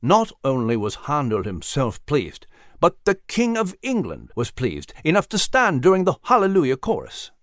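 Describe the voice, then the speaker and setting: A narrator voicing a gentle, courtly male mouse who plays the violin. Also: mid-range voice, wildly swooping pitch, moderate pace, no room sound, clean studio sound. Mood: tender.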